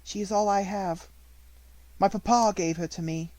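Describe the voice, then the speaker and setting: A man's voice: moderate pace, no echo, natural voice, low-quality recording. A man voicing a grieving but proud little girl in an audiobook story, speaking with mournful steadiness. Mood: steadfast. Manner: mournful, steady, low-toned.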